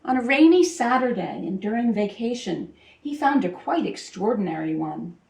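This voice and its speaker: A woman with a fairly high voice reads a children's story aloud in a very echoey room. She phrases it expressively and deliberately, pausing for emphasis, a little way from the microphone. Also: very animated, creaky, a little mellow. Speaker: storybook reader. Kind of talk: expressive children's picture-book read-aloud. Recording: roomy echo, distant microphone.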